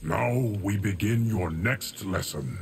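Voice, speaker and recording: An actor voicing a solemn, stoic, ageless guardian of time in a video game, formal and measured with a gravelly voice. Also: male voice, low-pitched, a little hiss or hum, exaggerated voice.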